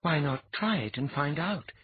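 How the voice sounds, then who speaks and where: A husky-voiced audiobook reader voicing a patient woman who instructs calmly with a wry edge. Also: mid-range voice, moderate pace, no room sound, phone-quality sound, pitched above the performer's own voice. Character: patient, teasing woman teacher.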